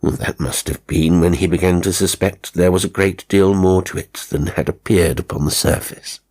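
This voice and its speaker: Deep-voiced male horror narrator. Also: steady tone, normal speed, a little mellow. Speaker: audiobook narrator. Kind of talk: scripted horror story narration.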